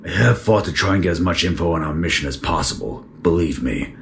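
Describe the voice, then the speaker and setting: A man playing a stern, guarded captain of space troopers in an audio drama, with a gravelly voice. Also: low voice, lively intonation, brisk, slight background noise. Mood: grave, confiding.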